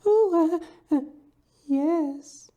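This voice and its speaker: A woman's voice: extremely high pitch, very slow, mellow, lo-fi sound, exaggerated voice. A woman playing a meek, flustered secretary with a hesitant, polite manner in a detective dramedy audio play. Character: meek, flustered female secretary, apologetic and dutiful.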